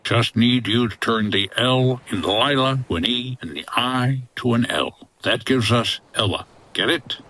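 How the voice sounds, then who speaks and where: A man's voice: medium pitch, measured pace, few pauses, sharp tone. A man playing a wry, tough 1950s boat skipper in a comedy audio drama, talking in a clipped hardboiled way. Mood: scheming, wry.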